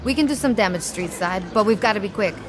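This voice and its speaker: A woman's voice: mid-range voice, animated, fast, natural voice. A woman playing a cocky, foul-mouthed gang boss in a video game, blunt, commanding and unbothered. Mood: determined, commanding. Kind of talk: video game cutscene acting.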